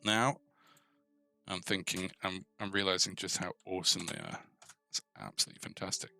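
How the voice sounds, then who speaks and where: A man with a fairly low, bright and forward voice talks through code on a live tutorial stream. He is informal and tentative, thinking out loud and pausing mid-thought as he works. Music plays clearly behind him. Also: fairly even intonation. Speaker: host of a coding tutorial stream. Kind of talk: casual live coding walkthrough, thinking aloud.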